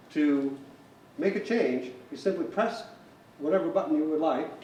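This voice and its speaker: A man's voice: fairly high pitch, a little mellow. Guy doing a tech walkthrough in a big echoey room, mic kinda far off, plain and methodical explaining. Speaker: technician instructor. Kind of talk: hands-on equipment tutorial. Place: large hall. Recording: roomy echo, distant mic, faint noise.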